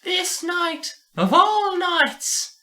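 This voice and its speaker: Man putting on a high, eerie old woman. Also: big pitch swings, full character voice. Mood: foreboding. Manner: drawn-out, hushed. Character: whispering spooky old woman, full of dread.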